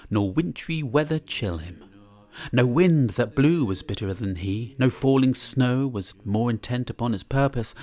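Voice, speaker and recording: A man's voice: mid-range voice, a little mellow. A man giving a live dramatic reading of a classic tale in a church, theatrical and savouring each descriptive word. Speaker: reader.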